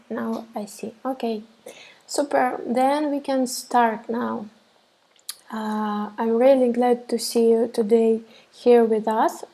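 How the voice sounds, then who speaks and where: Woman in her thirties, webinar instructor on a webcam, slow and gravelly, halting delivery full of fillers. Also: fairly high pitch, warm tone. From Russia. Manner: hesitant, many fillers and restarts. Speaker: web development instructor. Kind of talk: live webinar presentation. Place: small room indoors. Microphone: webcam on a laptop.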